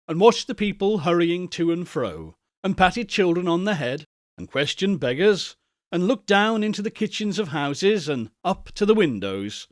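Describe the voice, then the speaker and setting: Guy reading an old classic story, really expressive, pitch all over the place, dry sound no room. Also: no echo. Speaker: storybook reader, narrator. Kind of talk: expressive literary narration of a classic tale.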